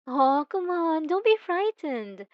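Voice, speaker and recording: A woman playing an obsessive, possessive young stalker in an ASMR roleplay, talking softly and intimately with teasing giggles. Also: very high-pitched, big pitch swings, takes it slowly, no room sound, muffled recording, heavily acted. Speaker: narrator speaking to the listener.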